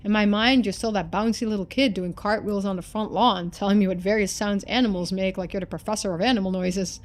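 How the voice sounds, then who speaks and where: A woman's voice: medium pitch, steady tone, brisk, a little hiss or hum, close to an everyday voice. A woman voicing a loving, lonely middle-aged father in a story game, reading his letters in an earnest, folksy manner. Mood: wistful.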